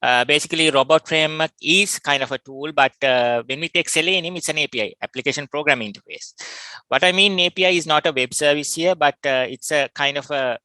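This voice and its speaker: Bright, clear Sri Lankan man presenting. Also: fairly high pitch, fast, no echo. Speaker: workshop speaker, test automation specialist. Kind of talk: informal technical lecture in an online workshop.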